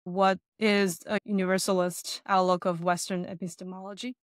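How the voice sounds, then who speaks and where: A woman's voice: mid-range voice, flat delivery, moderate pace, dry recording, studio quality. A woman podcast host carefully posing an interview question.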